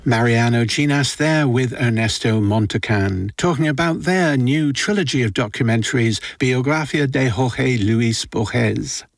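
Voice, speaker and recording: A man's voice: medium pitch, measured pace, gravelly, few pauses, no room sound, clean studio sound. A man reading a scripted arts report as a presenter, in a polished, informative manner. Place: dry studio.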